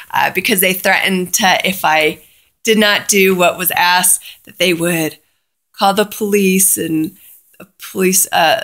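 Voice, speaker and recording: A woman's voice: lowish voice, vocal fry, a bit bright. A woman casually telling a personal story in a chatty way, often quoting both sides of a conversation.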